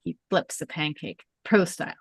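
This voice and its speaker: A woman's voice: sits low, fairly even intonation, moderate pace, breathy edge, no room sound. A woman narrating a script at a table read, reading out scene headings and stage directions.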